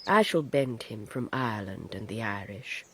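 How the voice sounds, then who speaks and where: An actress playing a scheming, imperious middle-aged society mother with an arch, deliberate delivery in a period radio drama. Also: very deep voice, animated, slight background noise. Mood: gleefully malicious.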